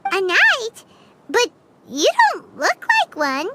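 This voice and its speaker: A woman voicing a tiny, excitable floating female companion who chatters eagerly in a game. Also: very high voice, takes it slowly, heavy background noise, heavily acted. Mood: curious. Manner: refers to herself in third person, eager. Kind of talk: game voice acting. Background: music.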